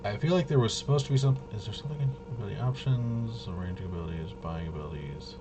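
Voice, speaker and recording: A man giving a casual, unscripted walkthrough as a host, rambling and halting, with muttered asides to himself. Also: lowish voice, over music. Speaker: host of a tabletop RPG review video.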